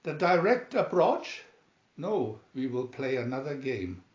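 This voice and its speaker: Man reading as cunning young gentleman hero, slow, declaiming. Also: mid-range voice, sing-song. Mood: resolute, scheming. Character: educated young Spanish Californian gentleman hero, cunning, haughty. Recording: roomy, dull home recording.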